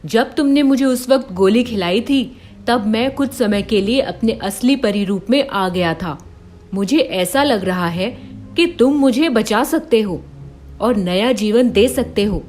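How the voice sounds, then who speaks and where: A woman's voice: fairly high pitch, a little slow, darkish tone, natural voice. A woman voicing a cursed fairy trapped in a lion's form, firm and earnest, in a cartoon fairy tale. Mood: firm, pleading.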